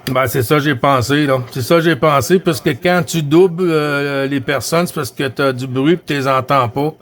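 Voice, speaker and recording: A man with a Quebec French accent and a voice a bit higher than average. He talks as a CB radio operator in an easygoing, conversational way. His delivery is halting, with phrases often said twice. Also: a bit bright. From Quebec, Canada. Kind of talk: casual radio chat with greetings.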